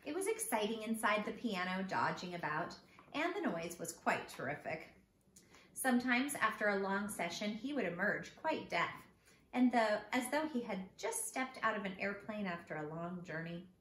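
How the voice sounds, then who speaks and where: Woman reading a storybook in an echoey room. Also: medium pitch. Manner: expressive, addressing young listeners directly. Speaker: teacher reading a children's book aloud. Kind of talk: storybook read-aloud for children. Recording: echoey room with background noise.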